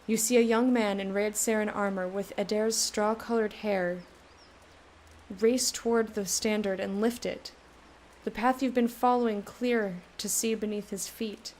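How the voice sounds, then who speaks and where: A woman's voice: medium pitch, steady tone, measured pace, a little mellow, a little hiss or hum. Woman reading game text aloud. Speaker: let's play host.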